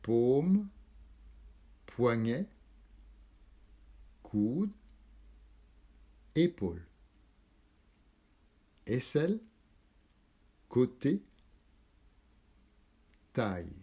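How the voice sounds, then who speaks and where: A man leading a guided yoga nidra meditation, calm and measured, instructing in short spaced phrases. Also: medium pitch, slightly rough. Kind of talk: slow guided relaxation meditation.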